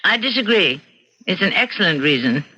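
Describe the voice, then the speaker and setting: An actress playing a bored, cunning wife in her thirties in an old-time radio drama, with cool, theatrical, deliberate delivery. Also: lowish voice, sing-song, pushed and bright, slightly rough, full character voice. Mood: cool, deadly serious. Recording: dull, noisy vintage radio recording.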